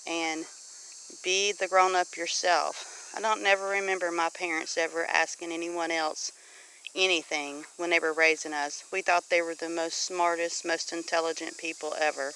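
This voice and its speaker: A woman's voice: fairly low pitch, flat delivery, normal speed, pushed and bright, a little hiss or hum, low-quality recording. A middle-aged woman with a Southern US accent gives an informal, preachy monologue to viewers, earnest and exhorting, repeating phrases for emphasis. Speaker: homesteading vlogger. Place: outdoors on a homestead.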